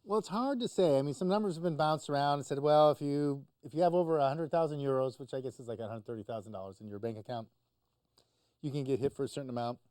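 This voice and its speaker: A man's voice: medium pitch, fast talker, a little mellow, no echo, clean studio sound. A man explaining the news conversationally as a talk show commentator, in a hesitant, informal way.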